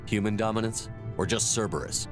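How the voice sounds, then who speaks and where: A man's voice: on the low side, brisk, music in the background, natural voice. A man playing a resolute soldier commander who talks in a firm, blunt and defiant way.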